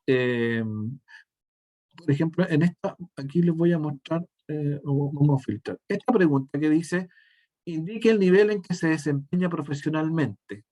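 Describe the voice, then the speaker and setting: A male teacher, walking through a software demonstration step by step and often restarting his sentences mid-thought. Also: a little high, moderate pace. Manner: step-by-step explaining, frequent self-corrections and restarts. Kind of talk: screen-share software tutorial.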